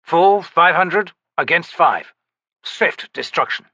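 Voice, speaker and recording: A man's voice: a little high, animated, slow, sharp tone, no room sound, pitched above the performer's own voice, full character voice. Itkovian, a man voicing a formal knight commander. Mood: firm formal. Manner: formal, firm, courteous. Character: disciplined male knight commander, formal and composed.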